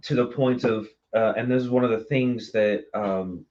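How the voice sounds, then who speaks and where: A man speaking earnestly and haltingly as a theater guest in a casual interview. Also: medium pitch, steady tone, a little mellow. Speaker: theater company member and guest. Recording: dull, lo-fi sound.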